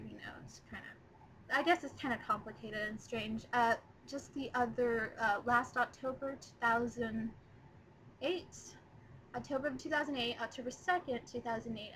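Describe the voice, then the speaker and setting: 19 yr old girl rambling on her laptop webcam, lots of ums, voice a bit high, faint hiss behind. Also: fairly bright tone, low-quality recording. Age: 19, young adult. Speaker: vlogger. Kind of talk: casual first video blog. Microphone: laptop webcam mic.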